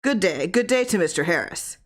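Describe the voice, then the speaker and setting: A woman's voice: lowish voice, lively intonation, fairly quick. A woman voicing a sly, sinister man with formal, insinuating politeness in a horror story. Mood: slyly polite. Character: sly, glittering-eyed man, oddly courteous, sinister.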